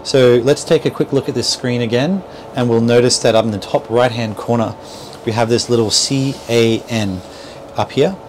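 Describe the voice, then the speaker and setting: Middle aged guy doing a casual how-to, mid pitched voice, steady background noise in a small boat cabin. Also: normal speed. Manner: explanatory, step-by-step, checks in with "right?". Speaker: boat dealer instructor. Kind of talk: informal how-to demonstration. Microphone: clip-on lavalier mic.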